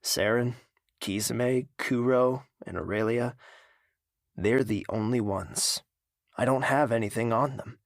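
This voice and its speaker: A man playing a brooding, lovesick king with a volatile temper, delivering a reflective, halting monologue in an audio drama. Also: medium pitch, gravelly, no echo, studio quality. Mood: brooding, restrained.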